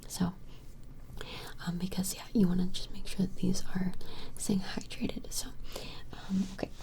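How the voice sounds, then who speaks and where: Slow, gently whispering ASMR woman. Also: lowish voice, level tone. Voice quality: breathy whisper. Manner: gentle, reassuring, hesitant. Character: attentive vampire shopkeeper measuring a creature customer. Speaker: ASMR role-play performer. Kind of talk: ASMR personal attention role-play. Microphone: close-up microphone.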